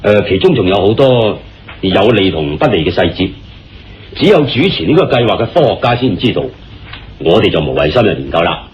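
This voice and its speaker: A man acting as an earnest, deliberate male confidant in a radio play, explaining things with dramatic phrasing and a Hong Kong Cantonese accent. His voice is low and very animated in pitch. The recording is dull, with music playing behind. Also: slight background noise. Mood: explanatory. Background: background music.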